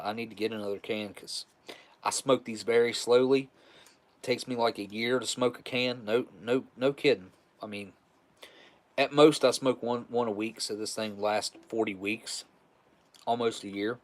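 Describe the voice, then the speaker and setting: A man's voice: mid-range voice, fairly even intonation, normal speed. A man in his forties hosting a live stream, chatting casually with viewers in a rambling, chuckling, easygoing way. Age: 40s. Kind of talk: casual live chat reading viewer messages.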